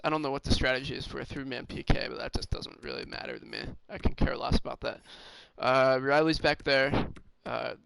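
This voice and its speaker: Raspy man thinking aloud over a game. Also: medium pitch, steady tone, background noise. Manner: thinking aloud, halting with fillers. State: slightly hoarse. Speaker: gaming streamer. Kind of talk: casual game commentary.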